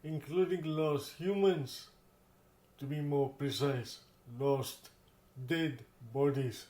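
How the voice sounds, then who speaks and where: A man hosting an informal spoken tech tutorial, with halting, repetitive phrasing. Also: fairly high pitch, steady tone, mellow. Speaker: host of accessibility tech demonstration videos. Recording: roomy echo.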